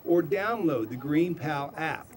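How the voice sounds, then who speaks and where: A man reporter narrating a scripted news package in an even, storytelling way. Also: on the high side, a little slow, slight background noise.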